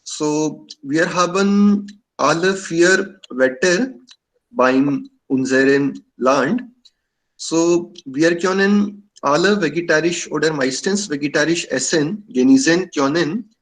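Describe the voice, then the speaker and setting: A man from India talks slowly in a non-native tongue. He stops often and fills the gaps with pauses. His voice sits somewhat high for a man. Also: rough recording. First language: Indian language. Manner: halting, many fillers. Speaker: club member sharing views. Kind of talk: hesitant casual talk in learner German.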